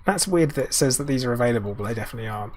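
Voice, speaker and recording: A man's voice: mid-range voice, lively intonation, rapid-fire, darkish tone. Man streaming a game, slightly scratchy. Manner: chatty, answers viewers by name, muttering asides. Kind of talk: live gameplay commentary.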